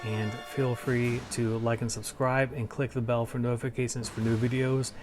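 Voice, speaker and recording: Male collectibles host, casual and enthusiastic, mid-pitched with limited melodic swing, music behind. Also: little pitch movement, normal speed. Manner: informal, enthusiastic fan talk. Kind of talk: casual chat to viewers.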